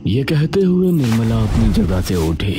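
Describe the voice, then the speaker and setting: A man's voice: mid-range voice, big pitch swings, moderate pace, noisy background. A man narrating a scripted dramatic village story. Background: background music.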